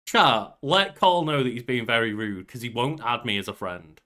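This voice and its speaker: A man's voice: mid-range voice, very animated, moderate pace, pushed and bright. A young man chatting casually as co-host of a podcast about games. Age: young adult. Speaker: podcast co-host and game streamer.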